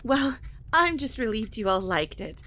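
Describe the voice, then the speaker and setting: A woman playing a gracious, proud vampire lady, polite and expressive, in an audio drama. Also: a little high, measured pace, slight background noise. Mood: pleased. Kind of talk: dramatic audio roleplay acting.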